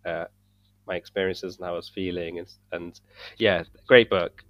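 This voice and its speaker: A man from the UK, a podcast guest, talking in an earnest, measured way and searching for words at times. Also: low-pitched, fairly even intonation. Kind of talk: casual discussion. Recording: dull dry sound.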